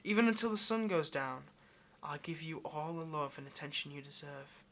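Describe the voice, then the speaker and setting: Guy doing a clingy male yandere demon for asmr roleplay, bright slightly high voice, lonely and devoted, bit of menace. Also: expressive, moderate pace, a little hiss or hum. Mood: tender, elated. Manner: hesitant, tender, obsessively devoted, addressing listener directly. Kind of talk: intimate ASMR audio roleplay.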